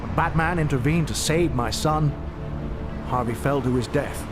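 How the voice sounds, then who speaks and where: Veteran police chief, male actor: earnest, deliberate, slightly high pitch, clear music underneath. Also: lightly acted. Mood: solemn confession. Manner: solemn, deliberate. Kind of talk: video game cutscene acting.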